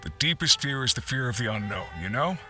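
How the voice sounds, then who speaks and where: A man's voice: medium pitch, animated, a little fast, bright, forceful tone, soft background music. A man playing a worried, friendly miner who coaxes the listener into taking on a job, in a game cutscene. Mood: coaxing. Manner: chatty and coaxing. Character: worried male miner giving a commission, friendly and persuasive.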